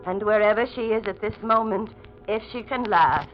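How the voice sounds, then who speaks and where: An actress playing a bitter, spiteful middle-aged housekeeper, sinister and insinuating, in a 1940s radio mystery. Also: female voice, medium pitch, normal speed, faint music behind, lightly acted. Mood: bitter, gloating. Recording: dull old radio recording.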